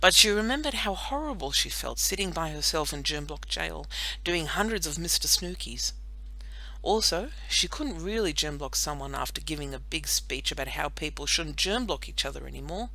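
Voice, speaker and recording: A woman narrating a children's story in an expressive, animated storytelling manner. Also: low-pitched, moderate pace, gravelly, rough recording. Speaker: audiobook narrator.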